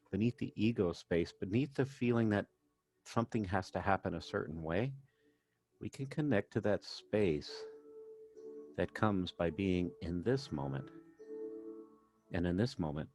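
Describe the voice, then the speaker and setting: A man working as a meditation coach, narrating a guided session in gentle, measured phrases with pauses. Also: low voice, expressive. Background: background music.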